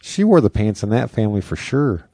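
A middle-aged man with a low, warm and mellow voice chats loosely on a podcast, rambling and joking and sometimes trailing off mid-thought. The sound is dry and close. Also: very animated, brisk, husky, no room sound. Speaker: podcast co-host. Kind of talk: casual chat.

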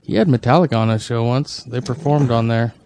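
A man with a gravelly yet smooth, mellow voice trades laid-back remarks on a podcast. His replies are short and easygoing, and the sound is dry and close. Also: mid-range voice, brisk, no room sound. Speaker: podcast co-host. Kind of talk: casual chat.

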